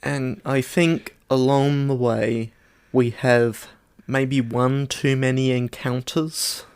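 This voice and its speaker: A man's voice: mid-range voice, little pitch movement, takes it slowly, clean tone, no echo. A man co-hosting a film podcast, chatting casually in a terse, understated and droll manner. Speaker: podcast co-host reviewing films. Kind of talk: casual chat.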